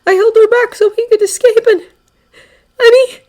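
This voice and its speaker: A woman voicing a betrayed teenage boy who is crying, with a hoarse, rasping voice. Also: very high-pitched, normal speed, a bit bright, voice pushed up. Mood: sobbing, bitter.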